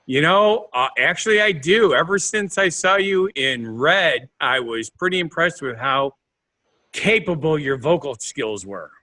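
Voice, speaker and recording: A male podcast co-host chatting casually, playful and a bit rambling. His voice sits fairly high and bright. His pitch swings widely as he talks. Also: expressive, a little slow. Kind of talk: casual chat.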